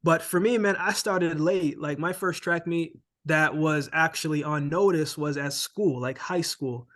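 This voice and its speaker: A man from Jamaica, a former sprinter, telling his story as a guest in a casual interview, relaxed and tagging lines with "man" and "right?". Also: a little high, a little slow.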